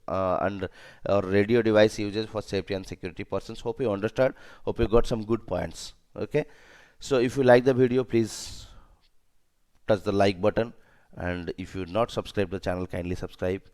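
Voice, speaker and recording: A man's voice: lowish voice, darkish tone, smooth. A man who is a non-native English speaker, teaching as a safety trainer in an emphatic, repetitive way with frequent check-in questions. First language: not English. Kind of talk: instructional lecture.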